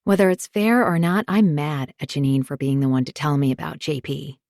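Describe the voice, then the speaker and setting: Gravelly low-voiced woman narrating an audiobook. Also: fairly even intonation, normal speed, darkish tone, no room sound, clean studio sound. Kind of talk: first-person scripted narration.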